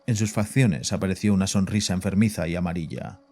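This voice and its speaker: Casting: male narrator for horror audio fiction, low register, dark soft timbre. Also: fairly quick. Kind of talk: scripted horror story narration. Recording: voice over background music.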